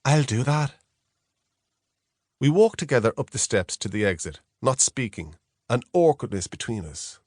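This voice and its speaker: A man reads a first-person novel in a measured, reflective storytelling manner. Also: fairly low pitch, sing-song, a little mellow, no room sound, studio quality. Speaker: audiobook narrator. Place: close, dry studio.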